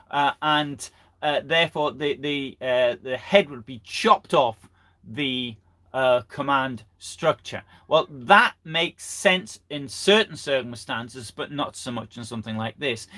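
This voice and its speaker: A man presenting history in an unscripted live lecture, halting and often restarting his phrases. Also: medium pitch, fairly bright tone. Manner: halting, repeats and restarts phrases mid-sentence. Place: live online broadcast.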